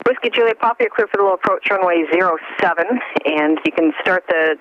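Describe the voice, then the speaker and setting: A man working as an air traffic controller, giving brisk, procedural instructions over aviation radio. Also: sits high. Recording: dull lo-fi radio with faint static.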